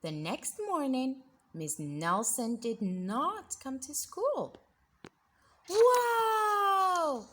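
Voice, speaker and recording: High-voiced woman reading a children's story slowly. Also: big pitch swings. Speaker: storybook reader. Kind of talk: expressive children's read-aloud.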